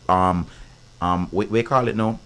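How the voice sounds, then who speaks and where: A man with a Jamaican accent and a big, deep voice, talking as a guest in a casual interview, speaking in patois and often checking that he is understood. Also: steady tone, measured pace, a bit bright, poor audio. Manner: patois-heavy, repeats "you understand". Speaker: guest artist.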